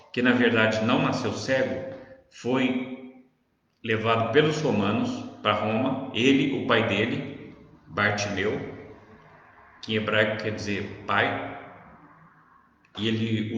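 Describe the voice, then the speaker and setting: A man's voice: on the low side, unhurried, pauses often, a bit bright. A man with a São Paulo Brazilian accent teaching the Bible informally, conversational and didactic, often checking that the listener follows. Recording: very echoey reverberant room, dull sound.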